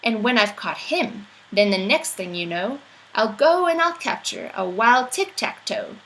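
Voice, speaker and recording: A woman's voice: a little high, big pitch swings, a little slow, fairly bright tone, poor audio. A woman reading a rhyming children's picture book aloud in a playful, theatrical storytelling manner.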